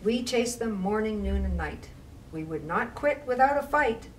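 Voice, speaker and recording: A woman reading to young children as a library storytime reader, with measured, expressive phrasing and casual asides. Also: medium pitch. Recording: distant mic with faint background noise.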